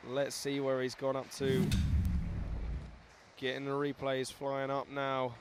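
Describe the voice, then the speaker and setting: A young man hosting live commentary at a scooter event, excitable and laughing, reading out viewer shout-outs. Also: mid-range voice, takes it slowly, background noise.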